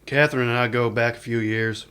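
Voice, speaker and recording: An actor playing a sceptical, cautious bounty hunter who asks plain, wary questions in a radio drama. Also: male voice, mid-range voice, fairly even intonation, brisk, fairly bright tone, smooth, close to an everyday voice.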